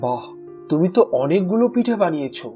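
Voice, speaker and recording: A man's voice: sits high, very animated, fast, mellow, dull audio, soft background music. A man playing an obliging, cheerful little bird husband in a children's folk-tale cartoon. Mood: delighted.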